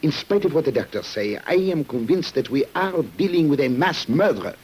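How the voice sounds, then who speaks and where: A man's voice: a little high, expressive, brisk, natural voice. A man playing a determined, brooding police commissioner, declamatory and emphatic, in a mid-century radio drama. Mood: resolute. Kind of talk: 1950s radio drama acting. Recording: noisy old radio recording.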